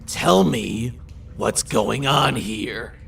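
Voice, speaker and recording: A man voices a sarcastic, lordly gang leader in an audio drama. His gravelly voice fries heavily and moves in lively swings, with formal, condescending phrasing. The mic sounds a bit far away. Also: medium pitch, extremely slow, faint music behind, full character voice. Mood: commanding. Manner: condescending, mock-polite, formal wording. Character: haughty, sarcastic, commanding male supernatural gang leader. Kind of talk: audio drama character acting.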